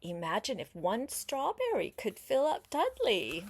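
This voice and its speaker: An older woman reading a picture book aloud to a child like a grandmother, unhurried and expressive, pausing to explain words and ask questions. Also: fairly high pitch, breathy edge. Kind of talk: storybook read-aloud with chatty asides to a young listener.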